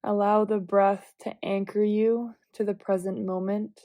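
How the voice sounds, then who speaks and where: A woman leading a guided visualization meditation, giving calm, gentle, measured instructions with long pauses between phrases. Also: medium pitch, no room sound.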